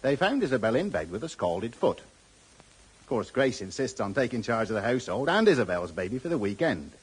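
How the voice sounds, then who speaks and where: A man's voice: mid-range voice, sing-song, moderate pace, breathy edge, rough recording. An actor playing an old-fashioned countryman with a rural West Country English accent, chattily narrating village news in a radio drama. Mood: folksy, musing. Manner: chatty, homespun, rambling rustic. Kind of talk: radio drama storytelling monologue.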